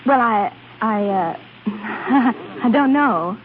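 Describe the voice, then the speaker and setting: A woman's voice: fairly high pitch, lively intonation, drawn-out words, narrow, tinny audio. An actress playing a flustered, haughty young lady in 1930s radio drama style, hesitant and fluttery in manner. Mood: nervous, coy. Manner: hesitant, fluttery, with nervous little laughs. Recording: thin, noisy 1930s radio recording.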